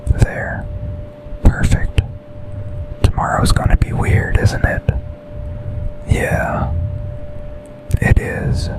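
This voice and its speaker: A man playing an intense, possessive yet tender office boss in a whispered, intimate ASMR boyfriend roleplay, speaking breathily in short, deliberate phrases. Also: natural voice, poor audio. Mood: hushed, fond.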